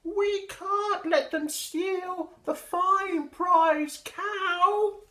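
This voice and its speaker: Man doing loud, high-pitched comical farm animals. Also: fairly even intonation, extremely slow, reverberant, heavily acted. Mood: loud, alarmed. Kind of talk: animated children's storybook animal noises.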